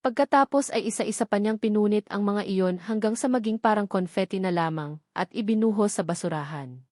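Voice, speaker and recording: A woman's voice: medium pitch, a little fast, darkish tone, no room sound, clean studio sound. A woman storyteller delivering a scripted, dramatic narration.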